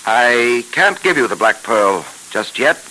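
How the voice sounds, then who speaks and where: An actor playing a tough, alert police detective in a brisk, commanding 1930s radio serial style. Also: male voice, medium pitch, expressive, bright, forceful tone, rough recording. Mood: guarded, businesslike. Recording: hissy 1930s radio broadcast recording.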